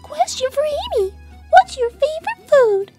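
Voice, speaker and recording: Super high squeaky lil bunny girl, cartoon, swoopy, so sweet and proper. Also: very animated, unhurried, mellow, no echo, soft background music, full character voice. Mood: prim, cheerful. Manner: prim, polite, childlike. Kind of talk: cartoon character answering fan questions.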